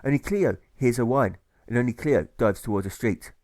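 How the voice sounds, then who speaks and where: A man reading a scripted rhyming audiobook in rhythmic, measured phrases, landing on each rhyme. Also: medium pitch, lively intonation, a little mellow, no echo. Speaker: author reading own audiobook.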